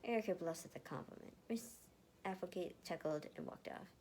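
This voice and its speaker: A woman's voice: on the low side, brisk, darkish tone, a little hiss or hum. A woman narrating fan fiction and chatting casually to viewers, informal and stumbling now and then over words. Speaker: fan fiction author and reader.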